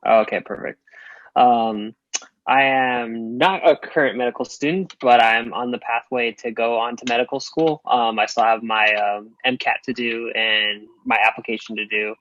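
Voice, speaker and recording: A man's voice: mid-range voice, steady tone, normal speed, fairly bright tone, dry recording. Man, hesitant, lots of ums, dry lo-fi call audio. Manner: hesitant, many um fillers. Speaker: panelist, lab assistant. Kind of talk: casual panel talk. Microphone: video call.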